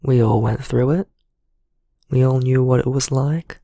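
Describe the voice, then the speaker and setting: Male actor as gentle, wounded man in an audio drama voicemail. Very slow, hesitant delivery, close dry sound. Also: mid-range voice, fairly even intonation, creaky, no echo. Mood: apologetic, reflective. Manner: halting, hesitant, emotionally vulnerable. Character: traumatised gentle man leaving a heartfelt voicemail.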